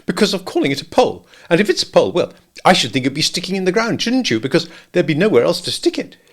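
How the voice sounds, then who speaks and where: A man's voice: high-pitched, very animated, quick pace, voice pushed up, exaggerated voice. A man voicing a fussy, know-it-all male rabbit.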